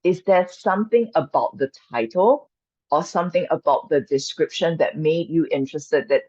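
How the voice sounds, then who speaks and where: A woman from Singapore, a Chinese speaker, gently guiding an online mindfulness and qigong workshop as its coach. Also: low-pitched, normal speed, slightly rough, no echo. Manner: gentle, inviting, reassuring. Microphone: video call. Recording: dull dry video call audio.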